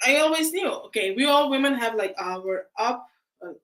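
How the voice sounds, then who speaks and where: A woman's voice: sits high, lively intonation, moderate pace, a bit bright. A woman host, chatting casually on a video call, often laughing and restarting her words. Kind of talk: casual live chat.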